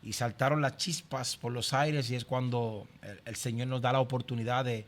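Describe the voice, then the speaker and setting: A man's voice: medium pitch, fairly even intonation, moderate pace. A middle-aged man from Santo Domingo with a Dominican accent, speaking conversationally as a pastor and podcast guest sharing his personal story. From Santo Domingo, Dominican Republic.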